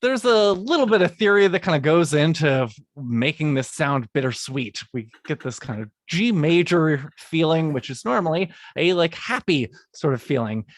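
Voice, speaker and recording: A man, a guest composer, recording semi-scripted podcast narration in an explanatory way with halting phrasing. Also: a little high, expressive, no room sound.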